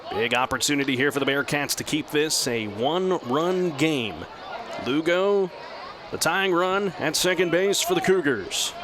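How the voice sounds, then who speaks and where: Guy doing radio baseball play by play, kinda high voice, clipped measured phrases, clear outdoor noise behind him. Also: animated. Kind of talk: live radio sports commentary.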